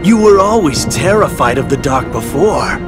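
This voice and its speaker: A man's voice: a little high, big pitch swings, normal speed. An actor playing a haughty, scheming young male villain in a video game cutscene. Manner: haughty, scornful. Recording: loud ominous music behind.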